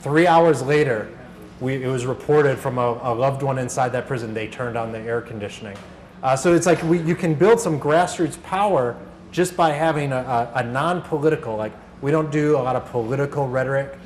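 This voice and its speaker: A man with a mid-pitched voice presents informally to a workshop. He talks conversationally, like an organizer sharing stories, and there is clear noise behind him. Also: fairly quick. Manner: conversational, acts out reported dialogue. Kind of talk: informal workshop talk with anecdotes. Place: workshop room, live talk.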